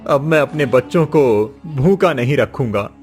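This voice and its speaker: Poor honest farmer, male actor, cartoon moral tale: humble, earnest, unhurried delivery. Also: mid-range voice, animated, a little mellow, faint music behind, natural voice. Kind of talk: Hindi moral-story cartoon dialogue.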